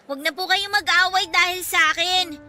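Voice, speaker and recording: A woman's voice: very high voice, fairly even intonation, fairly quick, sharp tone, heavily acted. A woman with a Filipino accent voicing an innocent, polite, pleading little orphan girl in a radio drama, in a childlike, earnest manner. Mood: worried.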